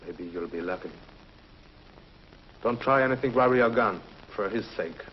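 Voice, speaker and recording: A man's voice: fairly low pitch, moderate pace, close to an everyday voice. An actor playing a tense, menacing man aboard a spaceship in a 1950s television adventure. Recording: muffled, noisy 1950s TV soundtrack.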